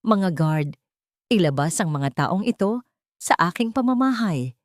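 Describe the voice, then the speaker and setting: A woman's voice: fairly low pitch, lively intonation, a little slow, no echo. A woman narrator puts on a protective, wealthy male love interest in an audiobook romance. He is firm and reassuring, commanding yet tender, with a dry, close sound.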